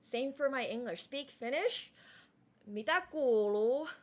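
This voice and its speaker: A young adult woman whose first language is Mandarin, chatting casually on a live stream and thanking viewers, with frequent "like" fillers and quick restarts. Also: high-pitched.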